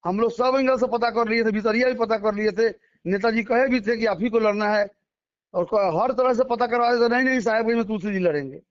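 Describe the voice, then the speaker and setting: A dull, lo-fi phone recording of a man with a distinctly high voice and a Bihari accent. He talks in an emphatic, colloquial way, repeating himself as he makes his political points. The sound is close and dry. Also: a little fast, smooth, no echo. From Bihar, India. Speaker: local politician being interviewed. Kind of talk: spontaneous political phone interview. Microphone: phone call.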